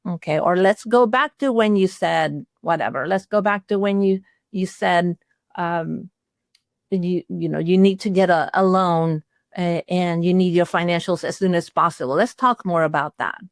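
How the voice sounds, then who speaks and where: A woman's voice: on the low side, moderate pace, no echo. Older woman from chile doing a webinar talk, chatty, dry close sound. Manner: conversational, explaining with sample phrases. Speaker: webinar presenter, retired accountant.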